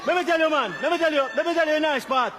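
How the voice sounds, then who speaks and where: A woman playing a bawdy, boastful Jamaican loudmouth in a comic stage play, loud, repetitive and emphatic. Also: high voice, racing, natural voice. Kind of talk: comedic stage play acting. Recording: loud audience crowd, noisy stage capture.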